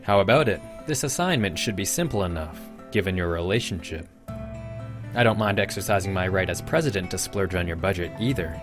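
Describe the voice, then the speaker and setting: A man's voice: sits low, animated, fairly quick. A man playing a suave, calculating young student council president in an anime dub, formal and smugly polite. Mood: formal, persuasive. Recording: music behind the voice.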